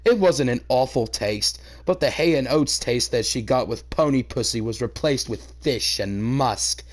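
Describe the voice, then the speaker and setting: Male comedic reader, highly animated pitch, theatrical delivery with profane, incredulous ranting asides. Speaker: host reading fan fiction aloud.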